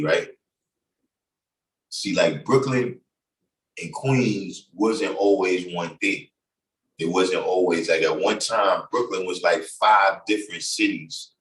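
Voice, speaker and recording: A very low-voiced New York man talks in a loose, rambling way, full of fillers. He sounds set back from the microphone in an echoey room. He is middle-aged and hosts his own livestream. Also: fairly bright tone. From New York City, Bronx. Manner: loose, conversational, many fillers. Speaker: livestream host. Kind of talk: casual livestream talk. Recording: echoey room, distant from mic.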